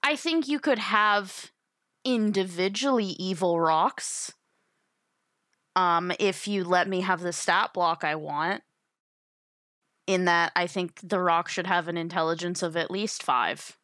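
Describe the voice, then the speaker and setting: A woman's voice: lowish voice, takes it slowly, a bit bright, no echo, clean studio sound. A woman chatting casually and emphatically as a guest on a tabletop gaming podcast.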